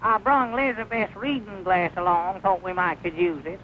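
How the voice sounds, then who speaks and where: An actor playing a simple, agreeable country fellow with a rural Southern American accent and a folksy, hesitant manner, in 1940s film comedy style. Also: male voice, high-pitched, fairly even intonation, moderate pace, natural voice. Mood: eager. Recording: dull, noisy old film soundtrack.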